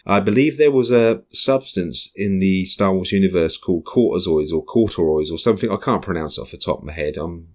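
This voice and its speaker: Deep-voiced man musing casually. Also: a little fast, hardly any pauses. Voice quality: low voice, hesitant with many ums. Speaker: podcast host. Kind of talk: casual chat, reading news aloud.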